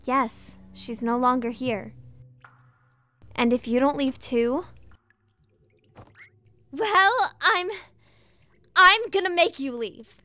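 A woman's voice: high-pitched, takes it slowly, pauses often, pushed and bright, exaggerated voice. A woman playing a defiant, stubborn young female rival in a video game fan dub, curt and confrontational. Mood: threatening.

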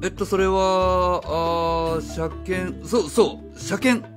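A man's voice: high-pitched, fairly even intonation, takes it slowly, over music. A man playing a flustered, evasive, cheeky father who drives a taxi, stammering and blustering in a radio drama. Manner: stammering, blustering, casual speech. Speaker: voice actor.

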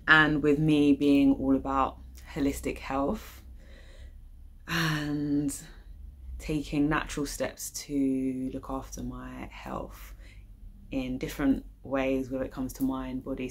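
Casting: young British woman with a low-pitched voice, informal and slangy, talking to camera like a casual vlogger. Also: flat delivery, unhurried. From United Kingdom. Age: young adult. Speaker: YouTube channel host. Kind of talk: casual vlog chat. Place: living room, indoors.

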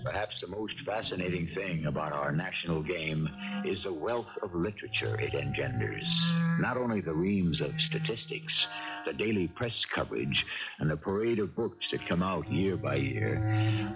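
A man hosting a 1970s radio drama, narrating in a measured, literary storyteller's manner. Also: sits low, sing-song, creaky. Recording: muffled old radio broadcast, loud music.

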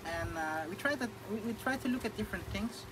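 A man's voice: high-pitched, lively intonation, quick pace, music in the background, rough recording. A young man with a Dutch accent, speaking casually as an interview guest, with many fillers and restarts. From Netherlands. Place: outdoor thatched shelter.

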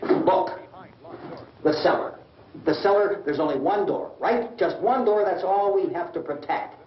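A man's voice: sits high, expressive, unhurried. An actor playing a stubborn, combative, frightened middle-aged American family man in an old horror film, arguing insistently in a tense voice. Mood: agitated, insistent. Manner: argumentative, insistent, repeats himself. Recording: dull, echoey old film soundtrack, distant.

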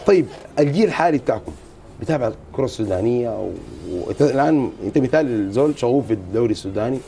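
A middle-aged man with a Sudanese Arabic accent hosting a studio sports interview, asking brisk, animated questions and backing the guest up with frequent murmurs. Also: medium pitch, a little hiss or hum. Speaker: TV sports show host.

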